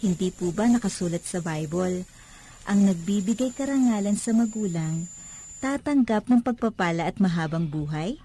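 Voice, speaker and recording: Soft, mellow devoted Filipino daughter. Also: female voice, mid-range voice, lively intonation, normal speed, breathy edge. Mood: loving, earnest. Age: young adult. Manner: respectful, earnest. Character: devoted, pious grown daughter, grateful and respectful. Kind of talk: radio drama acting.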